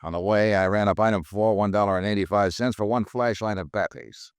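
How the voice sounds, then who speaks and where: An actor playing a persistent insurance investigator who narrates a 1950s American radio drama in a wry, dry way. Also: male voice, lowish voice, fairly even intonation, fairly quick, clean tone, dry recording, lightly acted. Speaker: lead and narrator.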